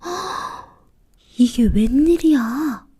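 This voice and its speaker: A woman voicing a kind, poor, humble younger brother in a storybook folktale, with a breathy, earnest and polite manner. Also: sits high, animated, extremely slow, warm tone, exaggerated voice. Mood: amazed.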